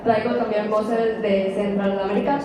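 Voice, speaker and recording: A woman's voice: medium pitch, level tone, crowd noise. A woman in her twenties from Costa Rica with a Costa Rican Spanish accent, introducing herself earnestly on a conference panel. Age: 20s. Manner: rapid, fluent, earnest. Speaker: youth climate activist panelist. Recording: echoey hall, distant mic, background hum.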